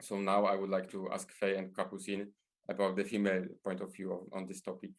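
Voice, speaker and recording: A man's voice: low voice, monotone, fairly quick. A man from Poland with a Polish accent, presenting a podcast as host in a scripted style.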